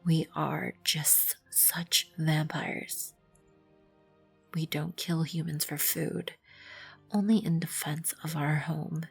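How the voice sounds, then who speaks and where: A woman playing a proud, composed vampire queen in an ASMR roleplay, with an imperious, formal manner and a breathy, husky voice. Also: sits low, fairly even intonation, slow, full character voice. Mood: stern, indignant. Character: regal, composed, proud vampire queen, protective of her colony. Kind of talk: ASMR roleplay, scripted second-person acting. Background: background music.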